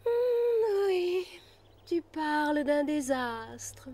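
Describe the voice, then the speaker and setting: Slow, haughty, high-pitched animal. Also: female voice, sing-song, a little mellow, full character voice. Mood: disdainful. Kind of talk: animated children's series dub.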